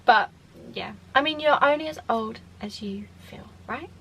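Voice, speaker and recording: A woman's voice: fairly high pitch, normal speed, fairly bright tone, a little hiss or hum. A woman in her twenties from the UK, chatting casually to camera in a Q&A vlog, rambling with lots of fillers and restarts. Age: 20s. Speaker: vlogger.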